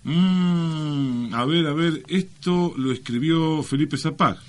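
A man's voice: fairly high pitch, slow. A man with an Argentine accent co-hosting a radio show in playful scripted comic banter. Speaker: radio co-host.

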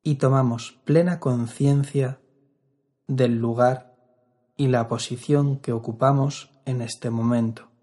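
Man, meditation instructor, calm, slow-paced, husky, long pauses. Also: mid-range voice. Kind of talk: guided meditation narration.

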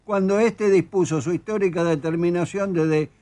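A man's voice: sits high, normal speed, warm tone. An older man with an Argentine accent, an author being interviewed in a studio, talking emphatically and with indignation. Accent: Argentine Spanish. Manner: emphatic, indignant, reads quotes aloud. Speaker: author guest.

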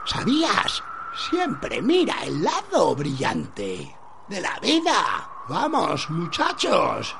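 A performer voicing a manic, cheerful condemned philosopher mocking death in a theatrical radio parody, exclaiming and goading with drawn-out phrases. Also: medium pitch, wildly swooping pitch, gravelly, background noise, exaggerated voice. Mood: rousing, goading.

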